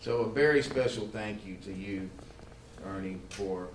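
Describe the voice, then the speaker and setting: A man with a fairly low voice hosts a meeting in a roomy, echoing space. He talks informally and haltingly, doubling back on words. There is faint noise behind him. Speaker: event host. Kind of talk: informal spoken remarks to a conference room. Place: good-sized meeting room. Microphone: livestream microphone, not amplified in room.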